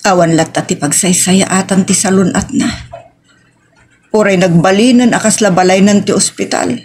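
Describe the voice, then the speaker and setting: A woman's voice: mid-range voice, normal speed, darkish tone, slight background noise, natural voice. A woman with a Filipino accent playing a weary, sorrowful older mother in a family audio drama, speaking plaintively. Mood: weary, grave. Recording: music under the voice.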